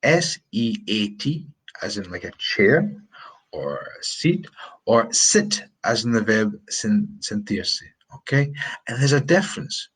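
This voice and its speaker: Scottish male teacher in his 30s, low-pitched, slow, rambling live-lesson delivery. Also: expressive, fairly bright tone, husky. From Scotland. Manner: chatty, rambling, repeats words, demonstrates sounds slowly. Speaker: English teacher. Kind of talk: live-streamed pronunciation lesson. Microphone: phone or webcam on a live stream.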